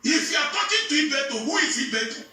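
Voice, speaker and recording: Shrill, echoey Nigerian man ranting. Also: expressive, quick pace, sharp tone. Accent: Nigerian Igbo. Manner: agitated, insulting, confrontational, repetitive. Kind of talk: heated informal rant. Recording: distant, echoey recording with music underneath.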